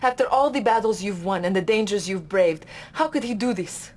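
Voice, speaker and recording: Fields. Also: female voice, medium pitch, animated, normal speed, natural voice. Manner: urgent, formal briefing. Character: loyal, earnest female Soviet officer. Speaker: Soviet military officer.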